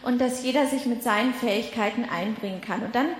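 Calm, high-voiced student presenter around nineteen, in a reverberant hall. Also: female voice, fairly quick. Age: late teens. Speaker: Bible school student. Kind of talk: prepared presentation. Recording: echoey hall.

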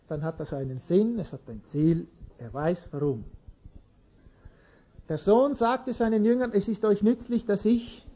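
A man's voice: high voice, a little mellow, breathy edge, dull audio. A man preaching an informal sermon in an earnest way, chuckling now and then and restarting sentences.

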